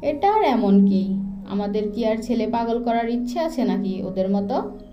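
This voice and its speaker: A woman acts a snide, jealous young sister-in-law in an audio story. Her fairly high voice sounds echoey and a little dull, with music clearly underneath. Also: sing-song, a little slow. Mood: jealous, scornful.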